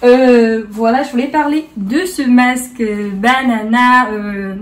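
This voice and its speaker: A woman's voice: on the high side, takes it slowly, distant mic, slight background noise. A woman hosting a casual beauty tutorial in a playful, chatty way, slipping bits of English into her French. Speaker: beauty vlogger, host.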